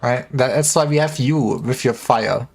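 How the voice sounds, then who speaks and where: A man's voice: mid-range voice, a little slow, creaky, darkish tone, soft background music. A man livestreaming games, chatting casually in a joking, rambling way with some stammering. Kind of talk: casual gaming livestream chat.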